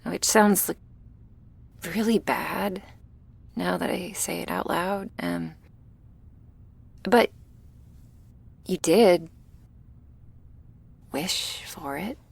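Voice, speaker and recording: A woman playing a shy, anxious, apologetic young vampire in an intimate ASMR roleplay, speaking softly and haltingly. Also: lowish voice, creaky, breathy edge, exaggerated voice. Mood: nervous, apologetic. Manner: hesitant, halting, soft-spoken with whispery moments and nervous little laughs.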